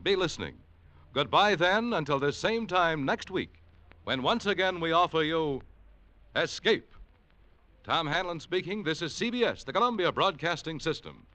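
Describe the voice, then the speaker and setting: A man's voice: fairly high pitch, expressive, normal speed, bright, forceful tone. A man reading commercials and credits as a 1940s radio announcer, polished and upbeat in the old declaiming style.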